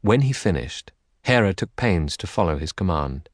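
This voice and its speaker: Male audiobook narrator for epic poetry: very low register, dramatic literary delivery, dry studio sound. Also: very animated, moderate pace, dry recording.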